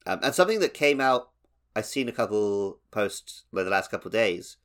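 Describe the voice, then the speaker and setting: A man with a fairly low voice talks casually as a podcast host. He chats about games in an informal, conversational way. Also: expressive, moderate pace. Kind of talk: casual gaming podcast chat.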